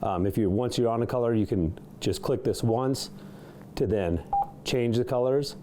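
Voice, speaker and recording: A man's voice: mid-range voice, moderate pace, noisy background. A man giving a casual, unscripted product demonstration in a studio, slightly hesitant as he works through the features. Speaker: product reviewer demonstrating a CB radio. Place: indoor studio.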